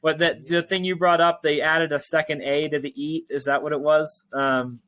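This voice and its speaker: A man's voice: fairly high pitch, little pitch movement, moderate pace, a bit bright, no echo. A man speaking as an interview guest in a casual, rambling, informal way. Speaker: tech company founder and interview guest.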